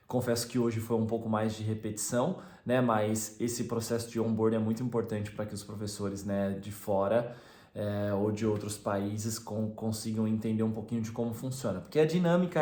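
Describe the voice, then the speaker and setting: Young Brazilian teacher-vlogger, slightly low voice, loose chatty delivery full of fillers, roomy echo. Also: male voice, darkish tone. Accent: Brazilian Portuguese. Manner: rambling, frequent "né" fillers and restarts. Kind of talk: casual vlog monologue.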